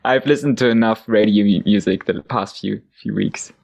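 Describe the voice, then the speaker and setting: A man's voice: lowish voice, normal speed, gravelly, a little mellow. A young man, sounding tired and sleepy, answering interview questions in an upbeat way with frequent laughter. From Germany. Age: young adult. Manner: laughs often, restarts words, upbeat. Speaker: guest singer. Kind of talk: casual interview chat.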